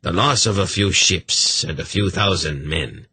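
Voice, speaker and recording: A man reading an audiobook voices a big, loyal older advisor who speaks in a calm, blunt way. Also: low voice, a little slow, a little hiss or hum.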